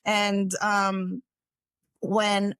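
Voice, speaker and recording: A woman's voice: mid-range voice, flat delivery, extremely slow, a bit bright, clean tone, dry recording. A middle-aged woman from New York, a guest in a casual interview, rambling with frequent restarts and laughs. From New York, United States.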